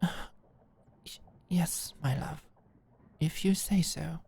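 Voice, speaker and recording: A woman's voice: sits low, slow, vocal fry, dark tone, slight background noise, full character voice. A woman playing a proud, combative lady knight and devoted lover in a theatrical, sardonic ASMR audio drama. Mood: hurt, submissive. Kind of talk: dramatic ASMR roleplay acting.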